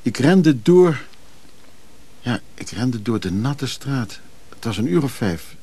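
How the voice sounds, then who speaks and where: Brooding man with a slightly husky voice. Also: medium pitch, very animated, slow, dark tone, heavily acted. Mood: haunted, brooding. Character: restless moody male lover haunted by dreams. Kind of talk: intimate radio drama acting.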